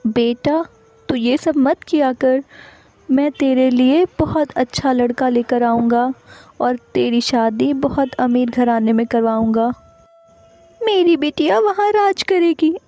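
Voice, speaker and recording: A woman's voice: high-pitched, takes it slowly, warm tone, no room sound, lo-fi sound, pitched above the performer's own voice. A woman voicing a humble, doting pigeon father in a cartoon story, tender and earnest. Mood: tender, sorrowful.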